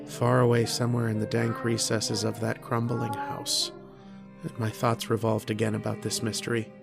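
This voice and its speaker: An actor playing a lonely, erudite mathematician lodger in an old-time style radio drama, literate, earnest and introspective. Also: male voice, medium pitch, lively intonation, gravelly, music in the background. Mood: brooding. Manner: literate, deliberate, introspective, with hesitant starts. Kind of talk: old-time radio drama acting with first-person narration.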